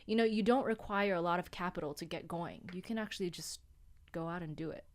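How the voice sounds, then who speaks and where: A woman guest on a radio show, calmly explaining business funding in a relaxed, explanatory way and spelling things out slowly. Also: on the low side. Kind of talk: radio studio chat.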